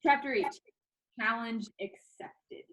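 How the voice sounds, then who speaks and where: A woman reading a storybook aloud as a teacher, with expressive narration and an informal manner. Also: a little high, takes it slowly, poor audio. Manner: informal, chatty asides.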